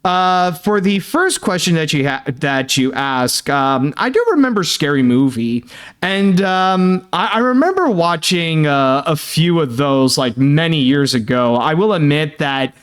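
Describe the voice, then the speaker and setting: Halting young man reading fan mail. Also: on the high side, lively intonation, fairly bright tone, smooth. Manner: halting, filler-heavy, many "uh" and "like"; earnest, thanking and sympathetic. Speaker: host.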